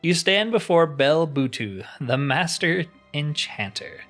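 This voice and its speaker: A man's voice: fairly high pitch, expressive, slow, a bit bright, faint music behind. A man voicing a haughty ancient master enchanter turned crystal, reading game dialogue in a grandiose, theatrical manner. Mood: self-important. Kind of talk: reading video game dialogue aloud in character.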